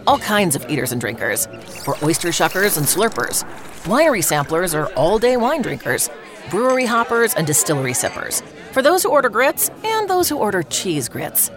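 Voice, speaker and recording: A woman's voice: deep voice, very animated, brisk. A woman reading a scripted advertisement as a voice-over in a playful, folksy way. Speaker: commercial voice-over. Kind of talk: scripted tourism advertisement read. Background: music.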